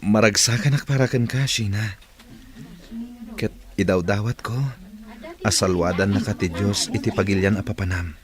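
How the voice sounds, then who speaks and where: Male actor as remorseful prisoner, deep voice, Ilocano Filipino accent, earnest, humble, radio drama delivery. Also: steady tone, moderate pace, pauses often, crowd noise, lightly acted. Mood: pleading, sincere.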